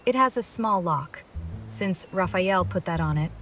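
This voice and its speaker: Low-pitched woman narrating a game story. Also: animated, measured pace, over music. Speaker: voice-over reader of a game story. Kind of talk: scripted first-person story narration with dialogue.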